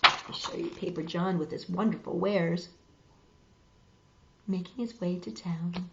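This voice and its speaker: A woman reading a picture book aloud to children, expressive and dramatic, pausing for asides to show the pictures. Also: on the low side, creaky, background noise. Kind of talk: animated children's story reading with asides to viewers. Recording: roomy, dull lo-fi web video.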